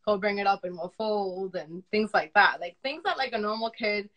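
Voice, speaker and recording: A woman, young to middle-aged, with a loud voice, chats casually and candidly as an interview guest, repeating phrases for emphasis. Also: mid-range voice, moderate pace, lo-fi sound. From Dominican upbringing. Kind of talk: casual personal conversation. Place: remote live video chat.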